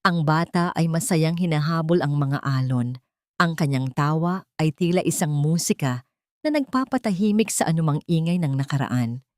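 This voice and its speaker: A woman reading a scripted dramatic story as narrator, in a measured, literary storytelling manner. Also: low-pitched, a little mellow, no echo, clean studio sound.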